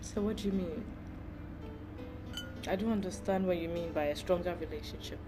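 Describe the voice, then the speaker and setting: An actress playing a polite, deferential dinner companion in a film drama. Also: female voice, fairly low pitch, normal speed, over music, close to an everyday voice. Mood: polite, guarded.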